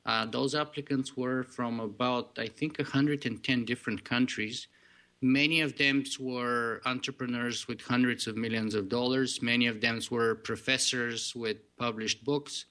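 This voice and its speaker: A man with a thick Bulgarian accent and Bulgarian as his first language, a podcast guest telling his story in an earnest, measured way. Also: mid-range voice, fairly even intonation, sharp tone.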